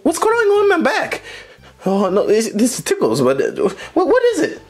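A man's voice: extremely high pitch, huge pitch swings, moderate pace, heavily acted. A man voicing a grumpy, exasperated, easily panicked chef puppet in a comedy skit, griping and repeating himself frantically. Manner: griping, repetitive, frantic when upset.

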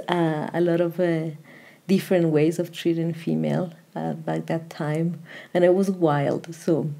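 A woman's voice: lowish voice, warm tone, slightly rough. A middle-aged woman from Ecuador, Spanish native, speaking English with an Ecuadorian accent as a candid podcast guest. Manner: candid, with light laughs. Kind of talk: personal storytelling interview.

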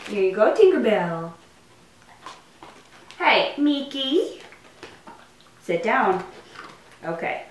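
A young woman with a high, very animated voice chats casually as a vlog host. She slips into playful pet talk. The sound is roomy, picked up from a little way off in a living room. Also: extremely slow, long pauses. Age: young adult. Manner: playful, cooing to pets. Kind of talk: casual pet unboxing vlog, talking to camera and to pets. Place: living room at home, indoors. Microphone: camera a few feet away. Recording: roomy, distant mic, faint noise.

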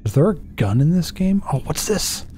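A man's voice: fairly high pitch, sing-song, normal speed, mellow, faint music behind. A man in his thirties giving casual let's play commentary, thinking aloud and asking himself questions as he goes. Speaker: gaming YouTuber. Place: indoor home setup.

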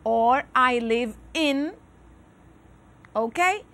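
A middle-aged Cuban woman with a Cuban Spanish accent, teaching an English lesson on educational television in a studio, switching between English and Spanish and speaking slowly and carefully. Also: high-pitched, animated, fairly bright tone. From Cuba. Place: TV studio with virtual set.

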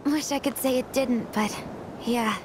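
A young woman playing a spirited, teasing rebel fighter in a video game, playful and expressive. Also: fairly high pitch, measured pace, background noise, close to an everyday voice. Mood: sheepish. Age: young adult. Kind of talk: cinematic video game acting. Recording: game music and effects behind.

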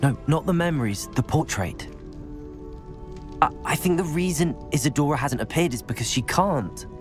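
Man as cautious young wizard, higher-pitched. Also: expressive, normal speed, music in the background, natural voice. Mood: uneasy. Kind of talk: video game cutscene acting.

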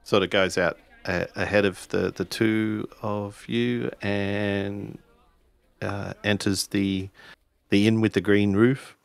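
A man playing a tabletop roleplaying game, narrating his character's actions haltingly as he searches for words. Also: fairly low pitch, flat delivery, creaky, no echo. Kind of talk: improvised third-person roleplay narration.

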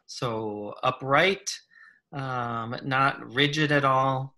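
Man, meditation leader, very slow delivery, gentle, short phrases, long pauses, soothing instruction. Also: medium pitch, steady tone. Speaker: guided meditation leader, psychologist.

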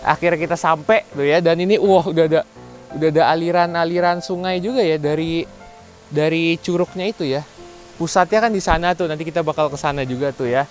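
A young man with a slightly raised pitch chats brightly to his viewers while filming outdoors on a handheld camera. Faint music sits in the background. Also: fairly even intonation, brisk. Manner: chatty, upbeat, addresses viewers as guys. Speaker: vlogger. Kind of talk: casual travel vlog narration. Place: outdoors at a waterfall resort.

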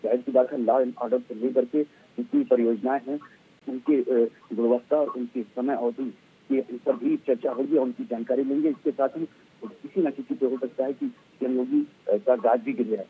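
Middle-aged male field reporter, dull lo-fi live link, halting unscripted delivery. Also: mid-range voice, fairly even intonation, slightly rough. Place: outdoors outside a government office. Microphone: handheld microphone. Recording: muffled, narrow-band live outdoor link.

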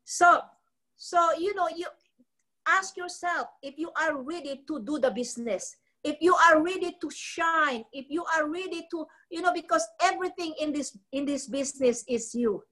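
A woman's voice: high voice, moderate pace, pushed and bright. Filipino woman preaching business on a call. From Philippines. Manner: repetitive, restarting, preachy. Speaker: network marketing trainer. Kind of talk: motivational talk on a live video call. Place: ornate living room at home. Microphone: phone close to the face.

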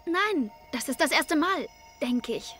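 Studious cartoon schoolgirl: modest, courteous, high bright tone. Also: expressive, takes it slowly, soft background music, exaggerated voice. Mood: polite. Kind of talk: animated series dub acting.

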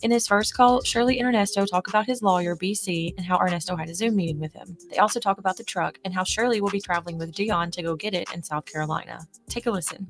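A woman's voice: on the low side, fast, few pauses. A woman reading scripted narration as an introduction to recordings. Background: music.

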